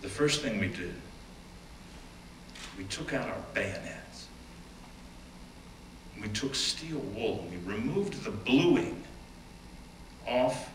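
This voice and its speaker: A man's voice: medium pitch, a bit bright. Man reading aloud in an echoey hall. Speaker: reader at a dramatic reading. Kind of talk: first-person dramatic reading of an oral history. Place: stage or event room. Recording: roomy, distant mic with faint background noise.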